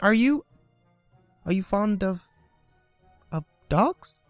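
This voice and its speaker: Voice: mid-range voice, expressive, slow pace, no echo, soft background music, voice pushed up. A reader voicing a polite, chatty young girl who stumbles over her words in a storybook reading. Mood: eager.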